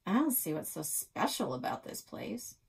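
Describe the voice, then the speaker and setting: A woman's voice: lowish voice, big pitch swings, normal speed, gravelly, husky, heavily acted. Woman doing a whiny, sulky young boy. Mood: dismissive. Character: disgusted, unimpressed city boy, sulky.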